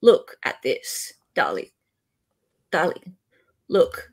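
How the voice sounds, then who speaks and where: Animated, chatty Australian woman. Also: low voice, drawn-out words, breathy edge. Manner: chatty, playful. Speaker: host of a live commentary show. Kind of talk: casual live chat commentary.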